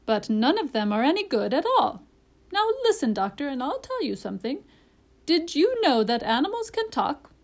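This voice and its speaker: A woman voicing a proud, bossy, opinionated female parrot in a high voice, speaking emphatically as if giving lessons. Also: very animated, moderate pace. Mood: insistent. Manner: emphatic, instructive.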